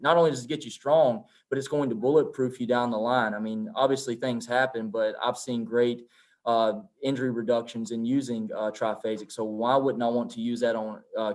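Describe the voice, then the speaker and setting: A man's voice: mid-range voice, darkish tone. A man who is a strength coach, speaking emphatically in a roundtable discussion and asking rhetorical questions.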